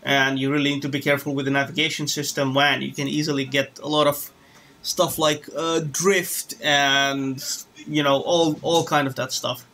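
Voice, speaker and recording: A man's voice: medium pitch, flat delivery, normal speed, pushed and bright, slight background noise. A man from Romania chatting casually on a live stream while flying a simulator, rambling and restarting his sentences. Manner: rambling, hesitant, many restarts. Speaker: flight sim streamer.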